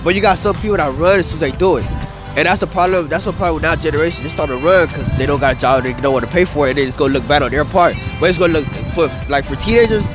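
A man's voice: fairly high pitch, racing. A young man giving a casual outdoor interview on a grassy field, talking loosely with lots of slang, into a handheld mic. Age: young adult. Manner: loose, rambling, slangy, lots of "bro" and "dude". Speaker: interviewee, wheelie rider. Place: open grass field outdoors by a city skyline. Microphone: handheld mic held by interviewer. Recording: music playing underneath. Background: background music.

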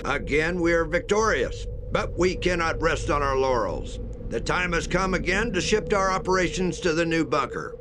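Man as a high-voiced, formal military commander. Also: expressive, normal speed, few pauses, noisy background, lightly acted. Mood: solemn command. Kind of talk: video game character acting.

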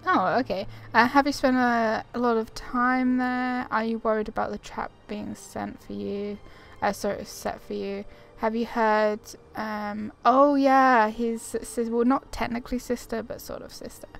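A woman's voice: on the high side, steady tone, unhurried, gravelly. A young woman giving casual, rambling, giggly commentary as she hosts a video game playthrough. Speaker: gaming video host. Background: game music.

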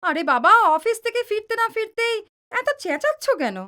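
A woman playing a caring, chiding middle-aged mother in an audio drama, with an expressive motherly delivery. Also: very high-pitched, moderate pace, heavily acted.

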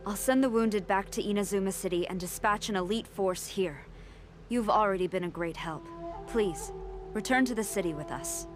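A woman's voice: medium pitch, moderate pace, music in the background, natural voice. A woman voicing a stern, dutiful young military commander in a video game, formal and composed. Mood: formal, grateful.

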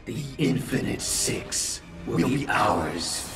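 An actor playing an ominous, scheming game villain with a portentous way of talking. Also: male voice, fairly low pitch, big pitch swings, slow, heavily acted. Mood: menacing. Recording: distant roomy game audio with music.

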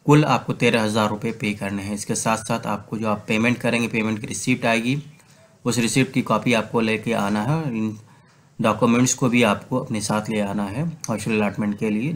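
A man hosting an update channel, narrating a screen-share walkthrough of an official notice in a steady explanatory way, reading figures out one by one. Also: on the low side. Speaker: channel host giving admission updates. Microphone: voice-over recorded apart from screen capture.

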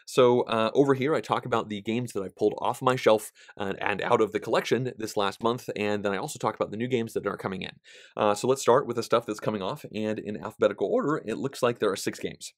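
A man in his thirties talks casually to camera from a small studio, his voice slightly nasal and pitched on the low side. He is chatty and animated, with plenty of fillers. The sound is dry and close. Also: quick pace, no echo. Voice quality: somewhat nasal. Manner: chatty and animated, gesturing with both hands, frequent fillers. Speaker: board game vlogger. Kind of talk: casual vlog update. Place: small indoor studio, plain backdrop.

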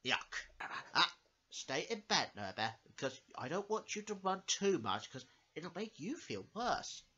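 Brief: young man, bright forceful tone, lively pitch, gentle puppet-show host, lo-fi webcam audio. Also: unhurried, husky. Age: young adult. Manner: gentle, fatherly talk to toys. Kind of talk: homemade puppet show chat. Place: bedroom indoors. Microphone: webcam built-in mic.

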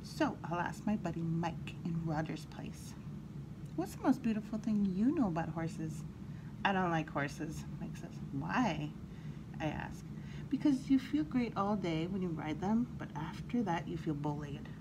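A woman storyteller reading a children's picture book aloud in an animated, expressive way, with brief asides. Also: on the low side, a little slow. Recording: clearly audible background noise.

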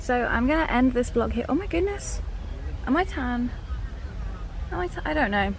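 A woman's voice: high voice, sing-song, normal speed, slight background noise. A woman in her twenties with a British accent chatting casually and playfully to a handheld camera at a festival. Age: 20s. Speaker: vlogger. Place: outdoors at a festival, later inside a tent. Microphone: camera at arm's length.

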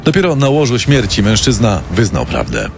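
Lively male true-crime narrator over music. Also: fairly low pitch, normal speed, creaky.

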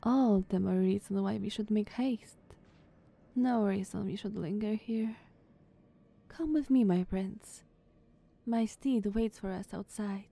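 A woman playing a devoted, anxious female knight in an ASMR roleplay, speaking formally and hesitantly with breathy tenderness. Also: medium pitch, slow, mellow. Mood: tender, resolute. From Slavic country. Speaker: audio roleplay performer.

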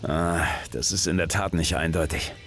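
A man voicing a gruff, laconic commander and steady hero in a sci-fi video game. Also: sits low, normal speed, a little hiss or hum. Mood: businesslike. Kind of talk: video game dialogue acting.

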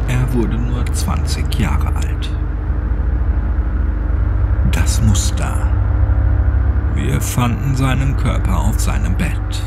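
Deep-voiced male horror narrator. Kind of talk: scripted creepypasta audiobook narration. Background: music under the voice.

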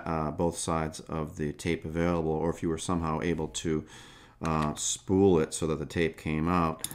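A man narrating a hands-on repair tutorial in a casual, unscripted way, explaining step by step in a halting manner. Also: very deep voice. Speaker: tutorial host.

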